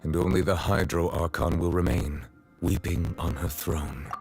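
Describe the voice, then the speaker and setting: Actor as a low-voiced, solemn, dignified magistrate. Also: male voice, steady tone, a little mellow, faint music behind, lightly acted. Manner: formal, measured, solemn. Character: grave, formal, dignified male magistrate, reserved and courteous. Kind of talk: video game voice acting.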